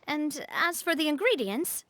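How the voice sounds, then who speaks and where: A woman's voice: high-pitched, animated, unhurried, a bit bright, no echo. A woman playing a cheerful, gracious young woman leader in a video game, speaking politely and expressively. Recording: music behind the voice.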